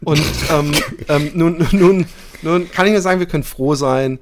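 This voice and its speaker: A man's voice: a little high, normal speed, a little hiss or hum. Teasing male podcast host in casual chat. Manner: teasing, probing banter.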